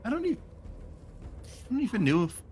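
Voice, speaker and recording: A man's voice: very high voice, very animated, a little fast, a little mellow, soft background music. Teasing man at a tabletop game. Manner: incredulous, teasing questions. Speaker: player. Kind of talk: casual tabletop game chat.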